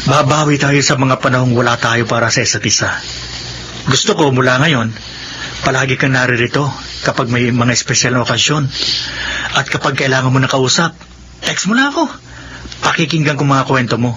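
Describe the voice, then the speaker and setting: A man's voice: mid-range voice, brisk, pauses often, close to an everyday voice. An actor playing an affectionate, jovial married man in a Filipino radio drama, talking in a teasing, earnest, brotherly way. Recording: noisy radio drama scene with ambience.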